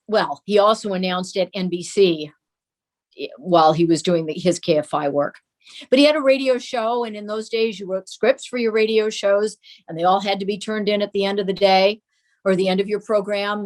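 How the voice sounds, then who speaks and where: Older woman, measured storyteller. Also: mid-range voice. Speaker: interview guest recalling a parent's radio career. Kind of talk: conversational reminiscence.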